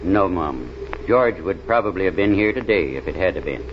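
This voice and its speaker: Folksy backwoods adventurer, drawl, plain-spoken yarn-spinner, dull hissy vintage radio. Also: male voice, sits low, expressive, moderate pace. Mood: recounting adventures. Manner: drawling, folksy, ungrammatical speech. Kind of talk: 1930s radio serial acting. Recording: dull, noisy old radio recording.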